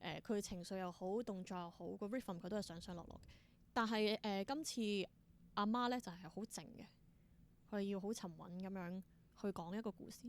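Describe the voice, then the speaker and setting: Woman, theatre performer as interview guest. Hong Kong Cantonese accent, mid-pitched, casual, enthusiastic storytelling sprinkled with English. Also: brisk.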